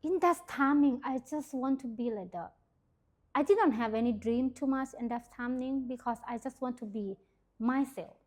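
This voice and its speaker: Interview guest: young Thai woman, non-native English, searching for words, a little high-pitched. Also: moderate pace, a little mellow. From Thailand, Isan region. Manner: hesitant, many fillers, simple grammar. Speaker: interview guest sharing her testimony.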